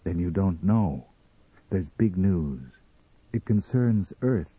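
A man voicing a cold, composed Martian for an audiobook story, speaking in a measured, formal manner. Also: deep voice, expressive, slightly rough, dry recording, muffled recording, exaggerated voice. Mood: calm, grave. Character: calm, cold Martian in blue glass mask. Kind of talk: dramatised audiobook character reading.

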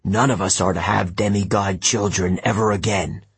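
A man voicing an anxious, brooding god of the dead, deep, husky and pleading. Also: fairly even intonation, exaggerated voice. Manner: pleading, grave, slow.

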